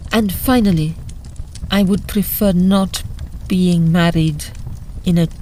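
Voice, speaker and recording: Guarded, low-pitched Italian noblewoman. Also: fairly even intonation, slow, dark tone, a little hiss or hum. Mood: coolly firm. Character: secretive, imperious Italian marchesa, proud bride with hidden secrets. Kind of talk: audio drama acting.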